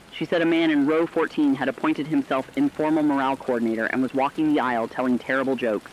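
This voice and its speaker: A man's voice: medium pitch, a little hiss or hum, natural voice. A man playing a somber, humane radio correspondent, telling survivors' stories in a reflective, scripted report. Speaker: radio broadcaster. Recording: dull, lo-fi radio sound.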